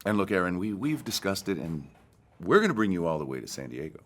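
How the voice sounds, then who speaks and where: Folksy husband, deep voice, quick talker, casual and placating. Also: male voice, sing-song, a bit bright, exaggerated voice. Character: folksy, falsely reassuring husband with hidden intent. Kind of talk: naturalistic audio fiction acting.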